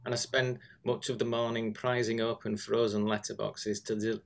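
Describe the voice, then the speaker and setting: A British man reading observational prose aloud in a deadpan manner. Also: lowish voice, vocal fry. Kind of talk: literary reading, observational narration.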